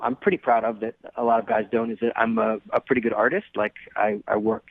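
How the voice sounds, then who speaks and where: A man talks quickly as a radio call-in guest, recounting things casually. He is earnest and self-conscious, hedging as he goes. The sound is very dry, with a narrow, slightly dull tone. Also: lowish voice, flat delivery, creaky, no room sound. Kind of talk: casual anecdotal chat.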